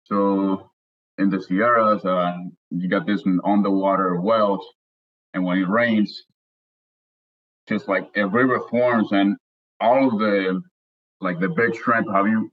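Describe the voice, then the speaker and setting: A man from Cuba, a guest on a casual podcast, talking in a halting way with repeated words. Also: on the low side, steady tone, lo-fi sound. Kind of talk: casual interview.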